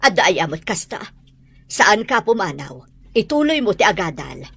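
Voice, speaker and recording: A woman's voice: mid-range voice, sing-song, normal speed, creaky, bright, forceful tone, husky, dry recording, full character voice. A woman acting a caring, anxious rural mother in a radio drama, fretful and coaxing, with a Filipino accent. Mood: pleading. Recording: radio drama with noisy ambience.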